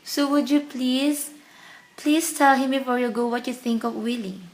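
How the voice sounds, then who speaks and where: An actress plays a lovestruck young daughter in a radio drama. Her voice is fairly high, and she comes across as eager, naive and headstrong. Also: fairly even intonation, moderate pace, natural voice, poor audio. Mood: eager, pleading. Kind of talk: radio play acting.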